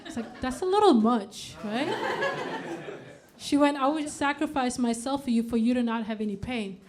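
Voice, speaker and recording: A woman in her thirties from Denmark, performing a stand-up comedy set on a club stage, deadpan with punchy outbursts. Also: fairly high pitch, normal speed, a crowd in the background.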